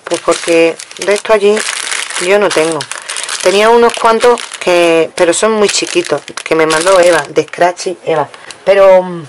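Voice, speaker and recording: A woman's voice: lowish voice, moderate pace. A woman from Spain casually showing a craft-supply haul at her table, chatting to viewers and counting items aloud. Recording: noisy home recording with crinkling packets.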